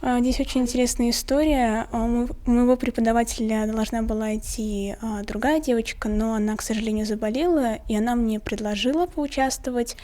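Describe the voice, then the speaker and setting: A young woman answers interview questions spontaneously. Her voice is somewhat high, with a gentle, mellow tone and little brightness. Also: fairly even intonation, a little fast, few pauses. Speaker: competition participant.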